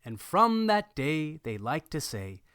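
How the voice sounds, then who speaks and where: A man reading a rhyming picture book aloud with an expressive sing-song rhythm. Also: fairly high pitch, takes it slowly, clear, resonant tone, dry recording, clean studio sound. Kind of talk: rhyming read-aloud narration.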